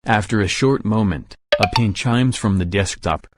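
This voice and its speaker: A man's voice: lowish voice, normal speed, noisy background. A man reading scripted story narration for a visual novel.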